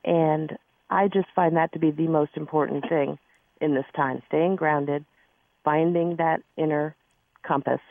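A hoarse woman calling into a radio show as a spiritual advisor, speaking earnestly and groping for words. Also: low-pitched, steady tone, measured pace, no echo. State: hoarse, lost her voice. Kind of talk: phone-in radio conversation. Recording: thin phone line, dry.

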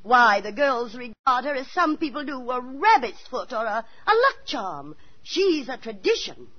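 An old band-limited radio broadcast carries a motherly, watchful landlady. Her voice sits fairly high and moves expressively up and down, in the theatrical manner of forties radio drama, with music behind. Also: very animated, measured pace, husky, dry recording, muffled recording, heavily acted. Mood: proud, fond. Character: motherly, watchful boarding-house landlady, devoted to her cat. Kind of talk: 1940s radio drama acting and narration.

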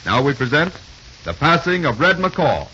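A man's voice: fairly high pitch, sing-song, moderate pace. A man doing scripted 1930s radio announcing in a dramatic, formal, declamatory manner. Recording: hissy, muffled 1930s radio recording.

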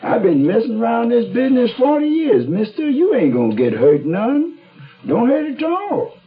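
A folksy old American tattoo hawker in a radio drama, with slangy, drawling patter. His pitch jumps around in a very animated way, and the dated radio recording is dull and boxy. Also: normal speed, husky, heavily acted. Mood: hawking, eager. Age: older. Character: old leathery tattoo parlor man, folksy, wily hawker. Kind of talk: 1970s radio drama acting. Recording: dull, band-limited 1970s radio recording.

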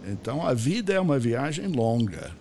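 Older guy hosting a podcast, rambling casual chat, a bit of rumble in the background. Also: sing-song, moderate pace, darkish tone. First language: English. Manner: rambling, checks in with "tá?". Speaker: podcast host.

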